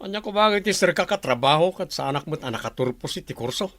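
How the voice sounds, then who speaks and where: A man's voice: fairly high pitch, animated, quick pace, a bit bright, no room sound. A man voicing a blunt, complaining grown grandson in a radio drama. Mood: resentful. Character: grown grandson, resentful and demanding. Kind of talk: Ilocano radio drama acting.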